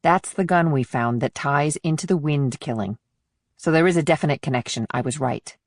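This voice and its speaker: A woman's voice: low-pitched, moderate pace, no echo, clean studio sound. A woman voicing an insistent, businesslike female investigator in audiobook dialogue, firm and explanatory.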